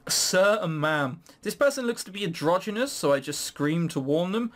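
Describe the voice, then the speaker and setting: A man's voice: high voice, lively intonation, moderate pace. A man hosting a gaming video, reading game text aloud and commenting on it casually with sarcastic, incredulous, rambling asides.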